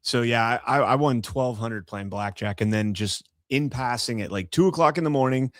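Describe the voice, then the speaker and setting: A man's voice: mid-range voice, moderate pace, no room sound, studio quality. A man hosting a podcast in casual chat, telling stories with lots of energy and singing a few lines of songs now and then. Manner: animated storyteller, sings snatches of songs. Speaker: podcast host.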